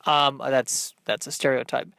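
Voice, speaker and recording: A man hosting a solo comedy podcast, rambling and thinking aloud in a halting, self-deprecating way. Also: mid-range voice, level tone, creaky, bright, forceful tone, smooth, dry recording. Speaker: podcast host working out stand-up comedy bits.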